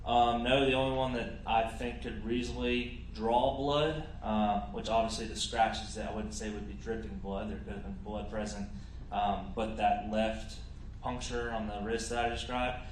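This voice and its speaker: Lower-voiced man testifying in an echoey courtroom. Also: little pitch movement, moderate pace, a little hiss or hum. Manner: short answers, hedging explanations. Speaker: police officer witness. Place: large courtroom. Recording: roomy courtroom pool feed.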